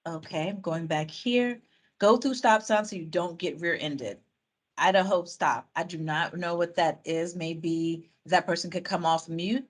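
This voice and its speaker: A woman's voice: fairly low pitch, a little slow. A woman facilitating a community video call, reading out and summing up participants' answers in a chatty way with fillers and restarts. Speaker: meeting facilitator.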